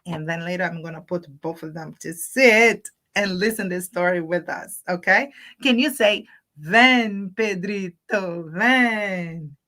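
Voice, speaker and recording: A woman's voice: lowish voice, moderate pace, few pauses, bright, forceful tone. A woman hosting a children's storytime livestream, talking to young children in simple teacherly phrases mixed with Spanish words. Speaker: host of a children's storytime channel.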